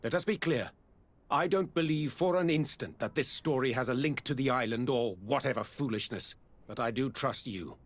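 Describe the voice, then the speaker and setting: Stern male head of state voiced by an actor, medium pitch, stately and firm, game cutscene. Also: normal speed, close to an everyday voice. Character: stern, dignified male ruler, sceptical but trusting ally. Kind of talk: video game cutscene dialogue acting.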